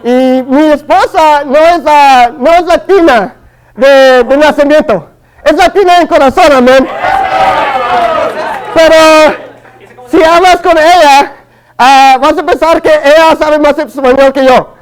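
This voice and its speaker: A man in his early thirties preaches with a Chicano accent, his voice sitting very high for a man. He talks hesitantly, filling pauses and restarting his sentences as he goes. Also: a faint crowd, low-quality recording. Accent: Chicano Spanish. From Los Angeles, family from Guatemala. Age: early 30s. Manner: hesitant, many fillers and restarts. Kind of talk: Spanish-language sermon.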